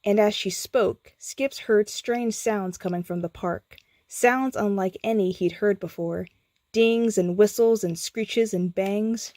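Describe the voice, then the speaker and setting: A woman narrating a scripted bedtime story in a theatrical, deliberate manner. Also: mid-range voice, dark tone, dry recording.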